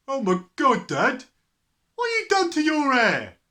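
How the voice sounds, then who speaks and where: A woman voicing a loud, tactless British boy who blurts out exclamations in a storybook. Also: high voice, wildly swooping pitch, slow pace, voice pushed up, heavily acted. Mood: shocked. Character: loud, tactless schoolboy son.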